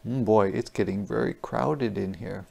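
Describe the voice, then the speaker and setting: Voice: deep voice, moderate pace, creaky. A storyteller reading a picture book aloud to children, with playful, wondering asides. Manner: animated, playful wondering asides to young listeners. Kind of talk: interactive children's read-aloud.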